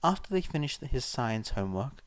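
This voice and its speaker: A man's voice: mid-range voice, very animated, normal speed, no room sound. Casting: male audiobook-style narrator for fiction, mid-range voice, close dry sound. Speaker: podfic narrator. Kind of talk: scripted story narration.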